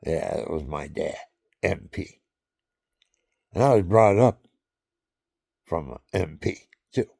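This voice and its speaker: A man with a very low, gravelly voice drifts slowly from one family story to the next, circling back and repeating lines as he goes. The sound is close and dry, with no room around it. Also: lively intonation, mellow, no room sound. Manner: meandering, repeats himself, chuckles at his own stories. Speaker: vlogger. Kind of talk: rambling personal storytelling.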